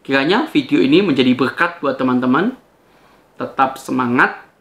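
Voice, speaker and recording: A man's voice: mid-range voice, animated, normal speed, fairly bright tone. A man delivering a preachy rebuttal monologue to viewers, emphatic and challenging, with rhetorical questions.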